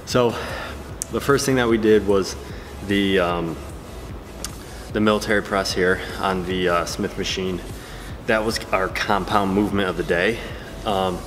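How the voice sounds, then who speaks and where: A man's voice: low-pitched, noisy background. A man in his thirties giving casual workout tips to his camera in a large gym, with filler words. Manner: relaxed, talking between sets with fillers. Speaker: fitness vlogger and trainer. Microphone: camera-mounted mic, camera on a stand. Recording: reverberant gym hall.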